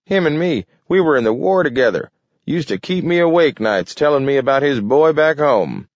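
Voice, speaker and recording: A man voicing a feisty, folksy old grandfather from a storybook, shrill and crowing. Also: expressive, normal speed, dry recording, highs cut off.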